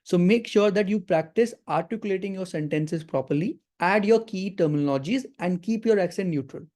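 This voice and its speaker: A man's voice: high-pitched, a little fast, a little mellow. A man hosting a live webinar, giving career advice in an earnest way, often repeating words and checking in with his listeners. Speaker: webinar host and career coach. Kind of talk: live webinar talk to an audience.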